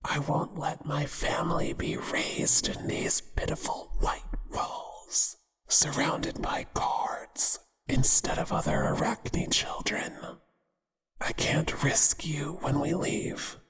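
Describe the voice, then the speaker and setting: Someone voicing a slow, whispering male spider monster. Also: medium pitch, steady tone, heavily acted. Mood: tender, possessive. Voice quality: breathy, whispering. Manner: slow, deliberate, menacingly affectionate. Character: possessive, obsessive male spider monster, tender toward mate. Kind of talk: ASMR horror audio roleplay. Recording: hushed whisper with long reverb.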